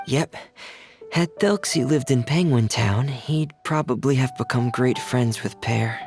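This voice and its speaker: Guy voicing a polite young tinkerer dude in a video game, measured n earnest, fry in the voice, music behind. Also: medium pitch, lightly acted. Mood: earnest, reserved. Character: soft-spoken, earnest young male mechanic and diver. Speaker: game character voice actor. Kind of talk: video game cutscene acting. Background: game background music.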